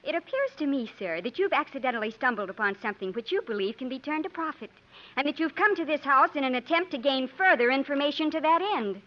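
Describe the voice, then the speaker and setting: Casting: feisty frontier lady, high voice, haughty and formal, vintage radio drama, muffled recording. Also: animated, fast. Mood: haughty, defiant. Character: proud, suspicious, feisty young gentlewoman of frontier days. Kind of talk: 1950s radio acting. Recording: dull 1950s radio recording.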